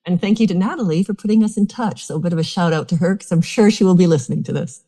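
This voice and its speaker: A woman from Canada with a Canadian accent, hosting a casual podcast interview, enthusiastic and quick to laugh. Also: fairly low pitch, dark tone. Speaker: podcast host.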